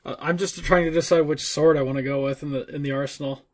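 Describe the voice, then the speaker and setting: Guy cohosting a gaming podcast, kinda high voice, casual chat, sweary and informal. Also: fairly even intonation, fast. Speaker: podcast co-host.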